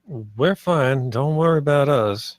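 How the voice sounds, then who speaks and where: A man's voice: medium pitch, takes it slowly, no echo, close to an everyday voice. A man reading the part of a pragmatic, wary space salvage crewman at a screenplay table read. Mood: calm.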